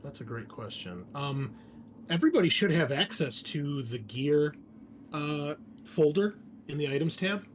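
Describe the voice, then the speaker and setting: A man's voice: on the high side, expressive, unhurried, a little hiss or hum. A man chatting casually as a player in a tabletop roleplaying game.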